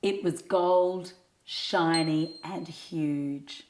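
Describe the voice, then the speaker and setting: A woman with a slightly low voice narrates a children's story at a very slow pace. Her reading is expressive and measured, and the room echoes noticeably around her. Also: a little hiss or hum. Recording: roomy, echoing sound.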